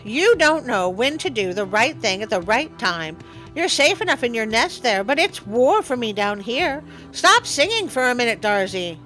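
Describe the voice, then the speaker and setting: Woman as a bossy little mongoose hero, brisk. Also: a little high, sing-song, fairly bright tone, faint music behind, pitched above the performer's own voice, low-quality recording. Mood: scolding, impatient. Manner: brisk, scolding.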